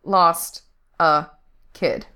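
A woman's voice: lowish voice, drawn-out words, sharp tone, smooth, exaggerated voice. A woman voicing a snappish, sarcastic, worried male rebel fighter in an audiobook story. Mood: shaken. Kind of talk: audiobook character dialogue.

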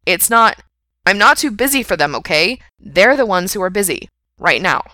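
A woman playing a defensive, flustered young man in an audiobook, with emphatic, halting delivery. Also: lowish voice, animated, bright, forceful tone, dry recording.

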